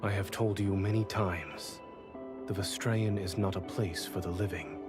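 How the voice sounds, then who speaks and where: Casting: a grave, cold god for a fantasy game, deep and solemn, over loud music. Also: male voice. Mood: stern warning. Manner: solemn, measured. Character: stern, grave male god giving cold warnings. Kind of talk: dramatic game voice acting.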